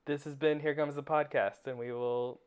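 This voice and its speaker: Deep-voiced woman, light Southern accent. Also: moderate pace, smooth. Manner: relaxed, laughs often. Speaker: podcast co-host. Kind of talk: casual chat.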